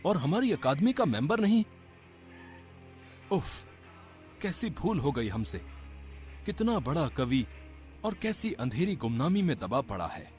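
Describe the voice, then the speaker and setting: A man voicing a fussy, officious literary secretary in a cartoon story, speaking in a declamatory, effusive way. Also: mid-range voice. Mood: astonished. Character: pompous, fussy male government literary secretary.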